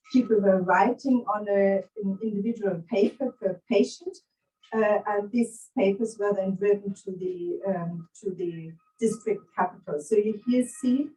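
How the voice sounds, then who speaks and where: A woman's voice: mid-range voice, darkish tone, far from the mic, low-quality recording. A middle-aged woman from Germany with a German accent, giving an academic conference talk with many hesitations and fillers. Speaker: conference speaker. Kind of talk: academic presentation.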